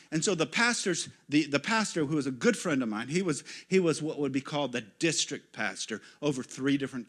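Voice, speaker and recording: A man from the United States preaches in a church. His voice sits high and sounds bright and forceful. He tells stories, going quiet for asides and getting loud when he is excited. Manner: storytelling, swings from hushed asides to loud exclamations. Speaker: guest pastor. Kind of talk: sermon.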